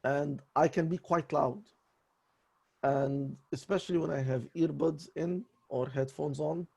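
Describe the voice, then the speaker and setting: A man in a voice lesson with a raspy voice, talking in an apologetic, halting way with many restarts. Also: on the high side, darkish tone. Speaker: voice student. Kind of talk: voice lesson conversation.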